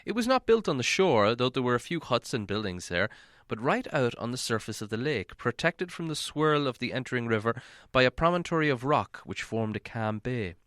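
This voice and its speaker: A male audiobook narrator reads a fantasy story in a medium-pitched voice. His delivery is dramatic and measured storytelling, captured in a very dry recording with little room sound. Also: sing-song, fairly bright tone, no room sound.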